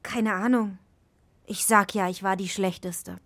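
A woman's voice: mid-range voice, steady tone, unhurried, fairly bright tone. A woman playing a bossy, bungling forest fairy in a children's radio play, dry and matter-of-fact with a theatrical streak. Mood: dry, stern.

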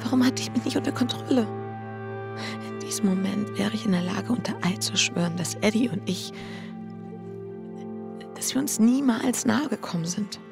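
An actress playing an anxious, guilt-ridden wife in an intense radio drama inner monologue. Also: female voice, medium pitch, normal speed, gravelly, pauses often, over music, natural voice. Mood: distressed.

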